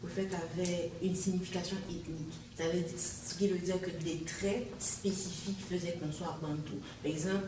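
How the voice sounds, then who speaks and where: A woman's voice: fairly low pitch, steady tone, moderate pace, few pauses. A woman from Haiti with a Haitian French accent, hosting a conversational interview in a chatty, rambling way, often reacting with interjections. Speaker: host. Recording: distant mic in echoey room with background noise.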